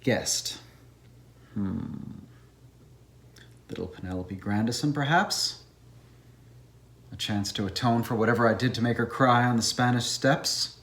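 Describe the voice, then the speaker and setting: Urbane, self-satisfied academic bachelor acted by a man, mid-pitched and musing, audio drama. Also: unhurried, long pauses, fairly bright tone. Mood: wry musing.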